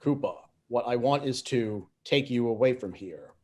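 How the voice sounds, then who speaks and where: Persuasive creepy stranger for a script reading, played by a man, mid-pitched, measured, insistent. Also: darkish tone, natural voice.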